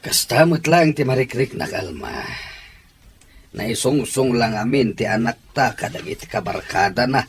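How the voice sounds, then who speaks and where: A gentle, fatherly middle-aged uncle. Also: medium pitch, lively intonation, unhurried, slight background noise. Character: middle-aged uncle, gentle and worried about his niece. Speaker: radio drama actor.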